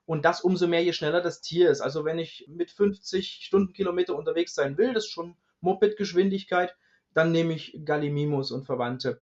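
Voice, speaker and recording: Guy, expert researcher explaining stuff informally, pretty high voice for a man, bit muffled lo-fi audio. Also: fairly quick, a little mellow. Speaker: dinosaur researcher, expert guest.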